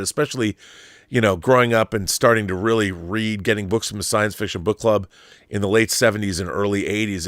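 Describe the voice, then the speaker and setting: A middle-aged man with a fairly low voice chats as the host of a livestream. He speaks in an emphatic, conversational way, doubling back and restarting phrases as he works out his thoughts. The sound is dry, with little room in it. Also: fairly bright tone, no echo. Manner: halting, repeats words and rephrases as he thinks aloud. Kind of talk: casual live chat answering viewer messages.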